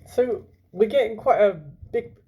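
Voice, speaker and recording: A man working as a teacher, reading a children's book aloud and pausing to explain it and put questions to his young listeners. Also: high voice, dark tone, slightly rough. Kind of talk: storytelling with explanatory asides to children.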